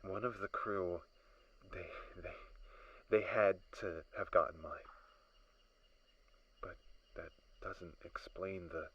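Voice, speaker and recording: A man playing a stranded space crash survivor in an improvised horror audio drama, unraveling and paranoid, halting and muttering, often dropping into whispers. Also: low-pitched, a bit bright, dry recording, dull audio, exaggerated voice. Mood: shaken.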